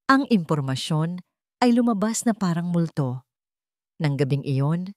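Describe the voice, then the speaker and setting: A Filipino woman narrates a dramatic story in a fairly low register. Her pitch moves widely from phrase to phrase, and she spaces her lines with deliberate pauses. The recording is dry, with no room sound. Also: darkish tone, no echo. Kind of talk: dramatic scripted audiobook-style narration.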